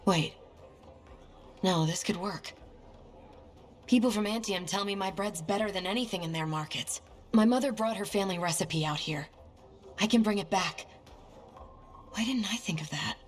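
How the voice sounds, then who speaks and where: A woman's voice: mid-range voice, normal speed, long pauses, lightly acted. A woman playing a crabby, grudging baker in a video game, blunt and grumbling. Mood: softening, resolved. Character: crabby, grudging female bread maker. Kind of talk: naturalistic video game acting.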